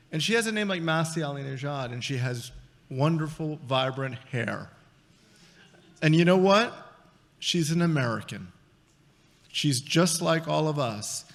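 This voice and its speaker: A man's voice: mid-range voice, fairly bright tone. A middle-aged man giving an earnest, emphatic introduction at a conference lectern. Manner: emphatic, earnest, repeats words. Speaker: event introducer. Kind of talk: formal speech introduction. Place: large conference hall stage. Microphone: lectern microphone. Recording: hall reverb.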